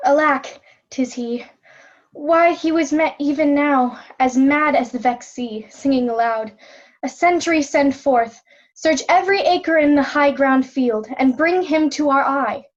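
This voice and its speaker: A woman playing a devoted, grieving young queen in an amateur Shakespeare reading, speaking earnest, measured verse. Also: high-pitched, muffled recording. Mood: troubled. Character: grieving, devoted young queen Cordelia seeking her mad father. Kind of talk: amateur Shakespeare reader's theatre.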